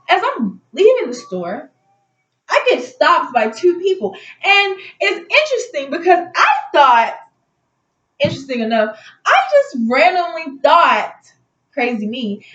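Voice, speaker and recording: A woman's voice: high-pitched, fairly bright tone. A woman telling a personal story in a casual, rambling, conversational way, like a vlogger chatting to her viewers. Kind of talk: casual storytime chat to camera. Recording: roomy echo, slightly dull lo-fi sound.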